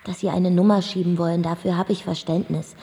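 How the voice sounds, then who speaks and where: An actress plays a worldly-wise, sardonic bar singer in a radio drama. Her voice is dark with a crackly fry and rings in a long reverberant space. She talks bluntly and flippantly. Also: on the low side, little pitch movement, brisk, close to an everyday voice. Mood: bitter. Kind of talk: German radio drama acting.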